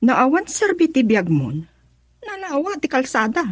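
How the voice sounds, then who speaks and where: A woman's voice: high-pitched, wildly swooping pitch, unhurried, no echo, studio quality, full character voice. An actress with an Ilocano accent playing a blunt, reproachful woman in a radio drama.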